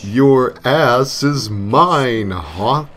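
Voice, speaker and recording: A man voicing the devil as a pompous, sadistic demon villain, proclaiming slowly and theatrically in a creepypasta story. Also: medium pitch, expressive, a bit bright, pitched above the performer's own voice. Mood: menacing, triumphant. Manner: slow, drawn-out, theatrical proclaiming.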